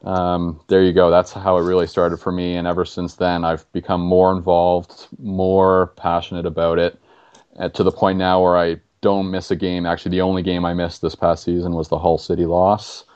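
A young man from Canada with a very deep, flat voice, chatting easily on a podcast. He uses plenty of ums and uhs. The sound is dull and lo-fi. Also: moderate pace, smooth. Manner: flat, easygoing, with fillers. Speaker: podcast guest. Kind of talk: casual podcast chat.

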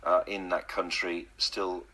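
Deep-voiced male radio host. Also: flat delivery, slow, sharp tone, rough recording. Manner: emphatic, rhetorical, with many fillers. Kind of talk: radio discussion.

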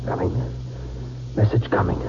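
An actor playing a weak, dazed colonel speaking in halting fragments in a 1950s radio drama. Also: male voice, mellow, natural voice. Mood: weak, desperate. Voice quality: weak, strained. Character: weak, dazed male colonel, urgent and haunted. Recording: dull, noisy old 1950s radio recording.

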